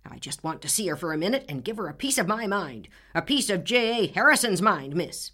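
A woman's voice: on the low side, very animated, fairly quick, bright, forceful tone, full character voice. A woman voicing an irate, blustering old farmer who splutters with rage. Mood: angry.

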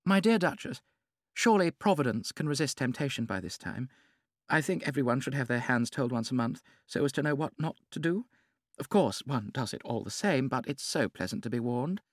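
A man doing a gushing high society lady. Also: animated, a little fast, dry recording, studio quality. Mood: airy. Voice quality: high, bright, theatrical. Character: vivacious society hostess, middle-aged woman.

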